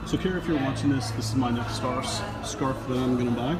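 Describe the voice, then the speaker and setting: A man's voice: mid-range voice, fast talker. A man, giving casual, off-the-cuff vlog commentary on a handheld camera. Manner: laid-back, off-the-cuff. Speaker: vlogger. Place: busy theme park, streets and dim shops. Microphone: handheld camera at arm's length. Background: loud music.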